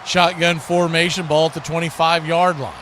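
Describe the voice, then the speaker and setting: Male play-by-play announcer, higher-pitched, live football call over clear background noise. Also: flat delivery, normal speed. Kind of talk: live American football commentary.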